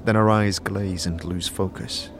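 A man's voice: low-pitched, fairly even intonation, measured pace, noisy background. A man giving dramatic scripted narration as the storyteller of an audio drama. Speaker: narrator and game master-style storyteller, also voicing the hero.

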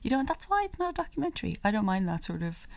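A woman's voice: mid-range voice, sing-song, rapid-fire, creaky. A woman co-hosting a culture podcast, chatting casually, laughing often and restarting her sentences. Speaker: podcast co-host. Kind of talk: casual chat.

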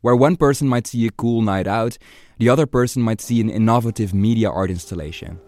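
A man's voice: medium pitch, fairly quick, darkish tone. A man hosting a podcast, reading scripted narration in an explanatory way. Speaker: podcast host.

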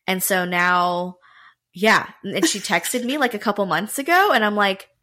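A woman from North Carolina co-hosting a podcast, chatty and animated, filling her talk with "like". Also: medium pitch, unhurried. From United States (North Carolina). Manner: chatty, animated, heavy use of "like". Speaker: podcast co-host. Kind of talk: casual chat.

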